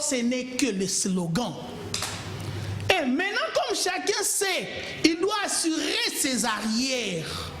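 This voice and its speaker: A man argues in a heated studio debate, emphatic and pleading by turns. His voice sits high and leaps all over its range. A roomy, echoing studio sound surrounds him. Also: fairly bright tone, slight background noise. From Kongo Central, DR Congo. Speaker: TV debate panelist.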